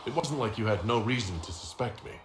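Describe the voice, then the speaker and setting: An actor playing a calm, direct, questioning male hero in a fantasy video game. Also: mid-range voice, a little fast, over music, natural voice. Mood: calm, probing. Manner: direct, questioning, level-headed. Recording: roomy echo, clear background noise.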